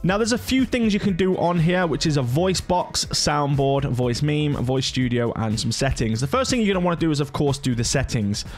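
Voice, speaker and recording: A man's voice: fairly high pitch, lively intonation, normal speed, hardly any pauses, faint music behind. Casual man, gaming tutorial. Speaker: gaming YouTuber. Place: indoor home gaming setup.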